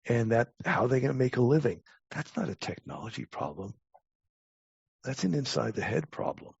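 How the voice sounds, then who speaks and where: An older man answers interview questions with a deeply creaky, rough-edged voice. He is blunt and emphatic, doubling back on words as he goes. The recording is dry and somewhat muffled. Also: sing-song, normal speed, dry recording. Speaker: podcast guest, talent acquisition advisor. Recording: dull, muffled lo-fi audio.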